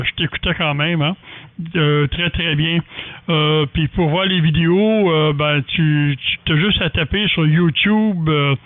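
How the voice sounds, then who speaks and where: A man's voice: fairly high pitch, no room sound, narrow, tinny audio. Quebec man, thin dry radio sound. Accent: Quebec French. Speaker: amateur radio operator. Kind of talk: casual radio chat.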